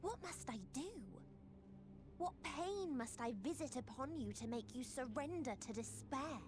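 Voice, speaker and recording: A woman's voice: high-pitched, animated, unhurried. A woman voicing an anguished being of many souls who preaches despair in a video game, solemn and ominous. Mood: anguished, bewildered. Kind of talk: dramatic video game acting. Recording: music under the voice.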